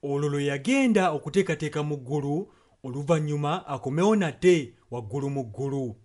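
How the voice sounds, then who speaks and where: A man's voice: a little high, expressive, a little fast, darkish tone. A man with a Ugandan accent interpreting a sermon in short translated passages.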